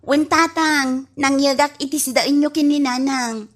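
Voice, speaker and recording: A woman acting a very high-voiced Ilocano child. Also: steady tone, measured pace. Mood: innocent, prying. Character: curious, tattling child of the old man. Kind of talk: Ilocano radio comedy drama acting.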